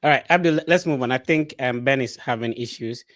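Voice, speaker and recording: A man's voice: medium pitch, brisk, a bit bright, dry recording. Casual male online show host, dry sound. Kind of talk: casual live interview hosting. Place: live online video call.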